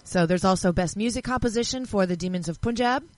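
A woman's voice: mid-range voice, quick pace, a little mellow, no room sound. A woman presenting a casual news segment on a podcast panel, chatting among friends.

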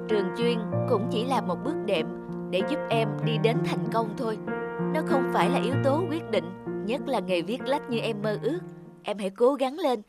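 Woman as a calm, wise cartoon author. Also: on the high side, lightly acted. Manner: calm, reassuring, instructive. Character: kind, wise young woman author encouraging a child. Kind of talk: animated cartoon dubbing.

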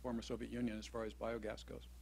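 A man's voice: lowish voice, monotone, fast, gravelly, a little mellow, husky. A man in the audience asking a panel a question, stammering and eager. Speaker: audience member.

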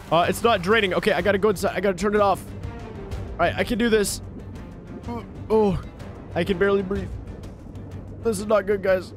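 An excitable little boy played by a man in a video game role-play, high in pitch. He exclaims in a breathless, whiny way over clearly audible music. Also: close to an everyday voice. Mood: worried. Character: excitable, frightened little boy, a baby brother. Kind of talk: improvised gaming commentary in character.

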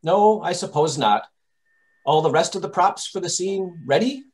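A man playing a brisk, impatient gentleman detective giving orders in old-time radio drama style. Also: high-pitched, expressive. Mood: calm, considering. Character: brisk, commanding, impatient English gentleman detective directing rehearsal. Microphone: video call.

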